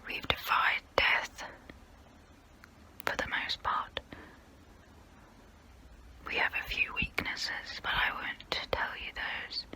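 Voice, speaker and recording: A woman voicing a haughty immortal vampire who looks down on humans, in a breathy close-up whisper for an ASMR role play. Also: bright, forceful tone, poor audio. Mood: superior, musing. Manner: slow, deliberate, condescending.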